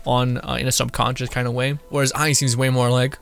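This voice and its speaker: A man's voice: mid-range voice, flat delivery, fairly quick, gravelly, fairly bright tone. Amused, rambling male game streamer. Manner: amused, chuckly, slangy, rambling. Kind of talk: casual live gameplay reaction commentary. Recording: game music behind.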